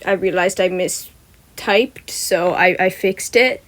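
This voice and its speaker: A woman's voice: lowish voice, slow pace. A young woman who chats casually and reads a story aloud in an informal amateur way, sometimes tripping over words and correcting herself. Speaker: podfic reader and channel host. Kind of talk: casual chatty intro and amateur story narration.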